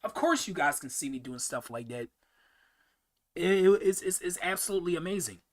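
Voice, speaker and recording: A man's voice: fairly high pitch, animated, a little fast. A young man hosting a casual live-stream Q&A, answering viewer questions in a rambling way, often repeating words and restarting sentences.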